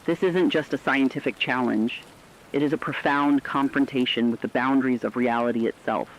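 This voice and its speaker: A man playing a thoughtful, earnest emergency radio correspondent in an apocalypse drama, with formal, measured delivery. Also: mid-range voice, steady tone, slight background noise, lightly acted, low-quality recording. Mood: awed, grave. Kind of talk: scripted dramatic broadcast narration.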